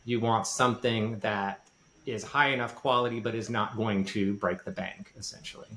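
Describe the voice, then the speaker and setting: A man giving informal, explanatory podcast advice in a light voice without much bass. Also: fairly even intonation, normal speed, sharp tone, a little hiss or hum. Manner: informal, self-deprecating. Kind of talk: casual explanatory podcast talk. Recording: roomy, echoey sound.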